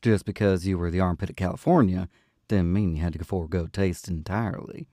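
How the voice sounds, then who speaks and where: A man's voice: low-pitched, steady tone, fairly quick, mellow, dry recording, clean studio sound. A man who works as an audiobook narrator and panel host, speaking expressively.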